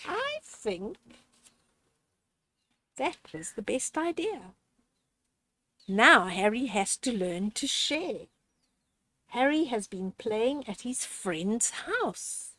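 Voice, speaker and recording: An older woman reading a children's story aloud in an expressive, gently instructive way, addressing the child listener directly with deliberate pauses. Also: on the high side.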